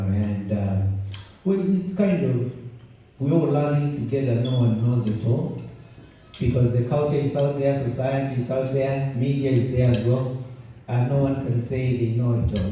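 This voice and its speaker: A man's voice: medium pitch, mellow, recorded from a distance, slight background noise. Kenyan man speaking in an echoey hall. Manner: chatty, jokey, thinks aloud with many restarts. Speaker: event host and journalist. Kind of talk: conference opening speech. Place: conference room. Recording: roomy hall, mic set back.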